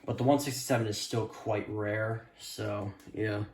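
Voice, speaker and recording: A man's voice: on the low side, fairly even intonation, a little slow. A man casually showing off a gadget on a vlog, unscripted, hesitant and repetitive. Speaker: weather radio hobbyist vlogger. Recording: echoey room with background hiss.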